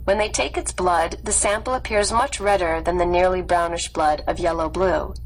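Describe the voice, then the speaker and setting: A woman narrating a scripted nonfiction story in a measured, clearly read delivery. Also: fairly low pitch, a little hiss or hum, low-quality recording. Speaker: audiobook narrator.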